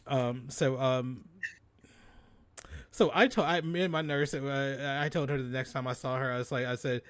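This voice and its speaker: A man co-hosting a podcast in casual, bawdy chat, telling stories in a sassy, animated way. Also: mid-range voice, normal speed, smooth. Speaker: podcast co-host.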